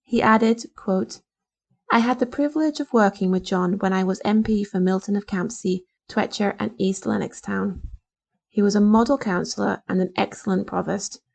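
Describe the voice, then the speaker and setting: Woman reading news, slightly creaky voice. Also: medium pitch, fairly even intonation, normal speed, darkish tone. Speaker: volunteer newspaper reader. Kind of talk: scripted news reading for a talking newspaper.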